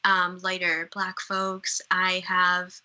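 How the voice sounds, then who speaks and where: A woman's voice: lowish voice, completely flat delivery, takes it slowly, sharp tone, muffled recording. A young woman from Uganda with a Canadian accent, speaking candidly as a guest. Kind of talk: casual conversation.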